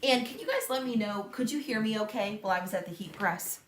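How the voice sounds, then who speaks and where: A young woman hosting a live crafting stream from her home craft room, chatting casually, thinking aloud, laughing often and reading out viewer comments in a loud voice. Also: mid-range voice, animated, quick pace, echoey room, a little hiss or hum. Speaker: crafting livestream host. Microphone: tablet mic across the room.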